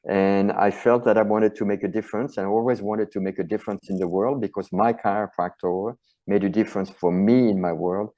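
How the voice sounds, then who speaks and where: A man's voice: fairly low pitch, fairly even intonation, brisk, warm tone, low-quality recording. A middle-aged man with a French accent, a chiropractor and author answering interview questions earnestly and passionately, often stacking phrases into lists.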